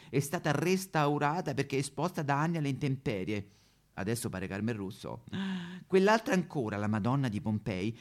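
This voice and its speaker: Casting: male comic actor as vain, aggrieved Madonna statue, Roman accent, melodramatic satirical monologue, very animated swooping pitch. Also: lightly acted. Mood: aggrieved, self-pitying. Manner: melodramatic, plaintive, sudden swoops into wailing. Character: aggrieved neglected female courtyard Madonna statue, self-pitying, vain.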